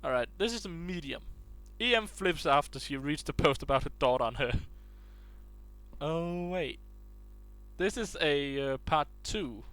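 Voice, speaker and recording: A man's voice: on the high side, lively intonation, measured pace, a bit bright. A man reading online posts aloud in a casual way, stumbling and restarting words as he goes.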